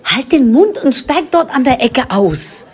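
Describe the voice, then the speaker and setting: A woman plays a bossy old witch in a comic audio drama. She scolds and snaps impatiently, with a very lively pitch that rises as she nags. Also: a little slow, slightly rough, exaggerated voice.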